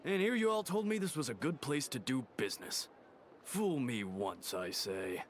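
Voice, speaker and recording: A man's voice: medium pitch, sing-song, moderate pace, a little hiss or hum, natural voice. A man playing a nervous, grumbling merchant in a video game.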